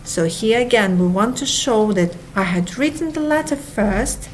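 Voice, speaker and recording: Higher-voiced woman drilling grammar examples twice each. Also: lively intonation, low-quality recording. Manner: repeats each example twice, slowly and clearly. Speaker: English teacher. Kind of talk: slow grammar lesson with drills. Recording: soft music behind the voice.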